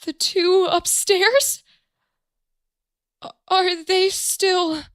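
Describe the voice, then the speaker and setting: Very high-voiced, frightened housewife. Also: female voice, steady tone, dry recording, heavily acted. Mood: dazed, fearful. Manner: halting, faltering speech. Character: frightened, shocked housewife in a crime drama. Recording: very dry voice over music bed.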